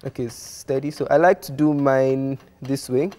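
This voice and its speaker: A man's voice: mid-range voice, steady tone, moderate pace, a little mellow, big-room sound. A Nigerian man giving a cooking demonstration, explaining each step. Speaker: cooking guest.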